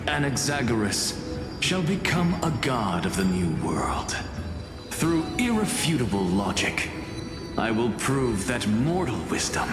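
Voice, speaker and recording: A man voicing an arrogant, scornful scholar and teacher in a fantasy game, pompous, theatrical and measured. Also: mid-range voice. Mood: grandiose. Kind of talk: dubbed fantasy game voice acting. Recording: long hall reverb, music behind.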